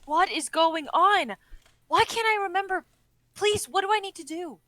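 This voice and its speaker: A woman's voice: extremely high pitch, normal speed, bright, forceful tone, no room sound. A young woman playing a frightened, confused, powerless heroine, pleading and bewildered in an amateur script reading. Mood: desperate pleading. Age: young adult.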